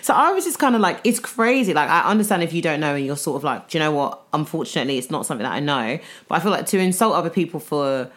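A young British woman co-hosting a podcast in casual, unfiltered chat, expressive and slangy. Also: sits low, fast, few pauses. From United Kingdom. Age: young adult. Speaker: podcast co-host.

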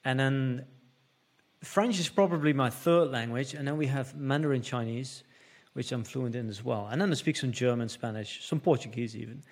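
A man in his thirties with a Dutch accent, a native Dutch speaker, tells his story eagerly as a podcast guest. He often restarts his sentences. His pitch sits in the middle for a man. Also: normal speed. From Netherlands. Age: 30s. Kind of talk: conversational storytelling.

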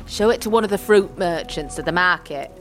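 A woman playing a gruff, wary old witch woman in an audio drama, blunt and teasing, speaking in rustic dialect. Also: lowish voice, fairly quick, music in the background, natural voice. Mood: bossy.

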